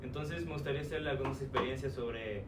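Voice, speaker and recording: A man hosting a podcast interview, halting and often repeating his own phrases as he asks questions. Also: mid-range voice. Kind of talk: casual interview. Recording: roomy sound from a distant mic with noise.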